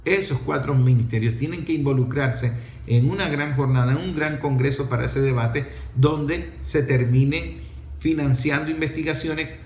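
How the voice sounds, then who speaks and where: A man's voice: medium pitch, a little mellow, reverberant, slight background noise. A middle-aged man with a Venezuelan accent giving an impassioned opinion talk in an emphatic, scolding way, full of rhetorical questions.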